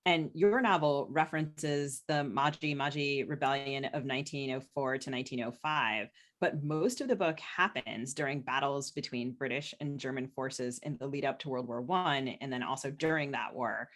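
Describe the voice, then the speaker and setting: A woman's voice: rumbling low, moderate pace, hardly any pauses. A woman hosting a podcast, talking in a conversational interview style. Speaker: podcast host.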